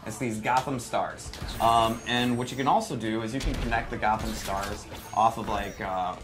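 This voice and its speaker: A man in his thirties giving a casual, jokey gameplay demonstration on a livestream, with self-deprecating, animated exclamations. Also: fairly low pitch, moderate pace. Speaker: developer demonstrating game. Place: studio livestream set, indoors. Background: game music.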